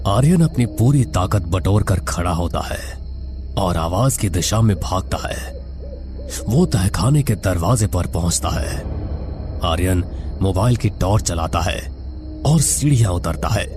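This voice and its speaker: A man's voice: low voice, animated, unhurried, over music. A man narrating a scripted horror story in a dramatic, suspenseful manner.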